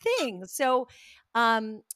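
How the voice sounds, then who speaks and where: A middle-aged woman telling stories expressively as an interview guest, with lively asides. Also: a little high, extremely slow, smooth. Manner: expressive storyteller, animated asides. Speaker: guest, communication coach. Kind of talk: casual interview chat.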